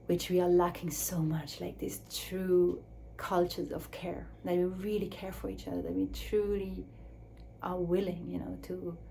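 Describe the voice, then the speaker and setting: A woman speaking English as a second language in a slow, searching reflective talk, with restarts and soft drops to a near whisper. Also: on the low side, little pitch movement, creaky, slight background noise. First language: Swiss German or Dutch. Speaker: speaker on her own channel.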